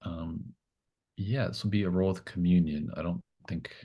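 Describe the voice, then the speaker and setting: Male game master, extremely low voice, improvised tabletop narration, hesitant, thinking aloud. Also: fairly even intonation, moderate pace, dark tone, breathy edge, dull audio.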